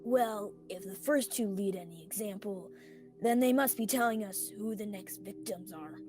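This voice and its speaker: A young woman playing an anxious male small-town sheriff trying to seem calm, acted in radio theatre style. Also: mid-range voice, moderate pace, faint music behind, close to an everyday voice. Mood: focused. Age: young adult.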